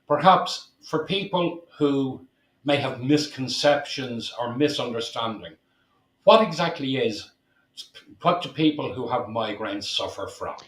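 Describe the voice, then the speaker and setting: A man presents and interviews in a chatty style. His voice sits at a middle pitch. The recording has a clearly roomy echo around him. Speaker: host interviewing a guest. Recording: echoey room sound.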